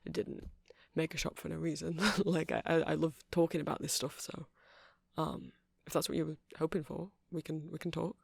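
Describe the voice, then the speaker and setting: A man's voice: on the high side, level tone, normal speed, creaky, breathy edge, no echo, studio quality. A man playing an awkward, rambling vampire music shop owner who stammers and hesitates in a casual ASMR roleplay. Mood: friendly, earnest. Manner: hesitant, stammering, apologetic, nervously chuckling.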